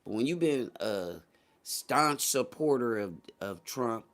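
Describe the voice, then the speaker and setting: A man's voice: medium pitch, expressive, slow pace. A man hosting a livestream, giving casual political commentary to his chat in slangy, profane, conversational talk and repeating phrases for emphasis. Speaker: livestream host.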